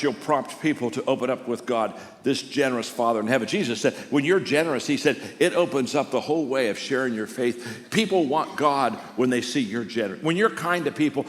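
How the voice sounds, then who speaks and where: Older pastor preaching in a big hall. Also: male voice, mid-range voice. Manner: storytelling, warm and conversational with frequent restarts. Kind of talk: sermon. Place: large church auditorium. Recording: long reverb of a large hall.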